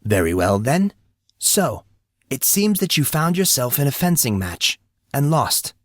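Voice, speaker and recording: A man voicing a courteous, calm, knowing gentleman with formal, polite phrasing in an audiobook story. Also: mid-range voice, a little slow, no room sound, clean studio sound, pitched above the performer's own voice. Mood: courteous, amused.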